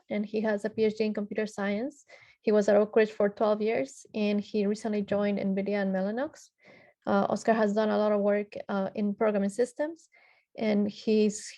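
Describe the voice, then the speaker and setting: A woman's voice: mid-range voice, mellow. A woman from Ecuador moderating a technical panel, reading out introductions with frequent filler sounds and restarts.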